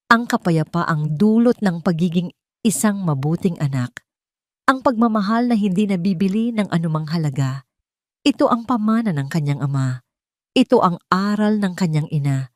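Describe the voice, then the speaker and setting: A Filipino woman tells a sentimental scripted story. Her voice sits slightly low for a woman, with a measured, earnest delivery. The sound is very dry, with no room around it. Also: darkish tone, no room sound. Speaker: narrator and host of an audio story channel. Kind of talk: scripted dramatic story narration in a sentimental register.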